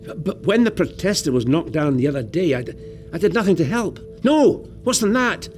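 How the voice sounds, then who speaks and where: A young Scottish piper in an audio drama, haunted by battlefield guilt. His voice is high and swings widely, halting with emotion, over soft music. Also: sing-song. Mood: guilty, distraught.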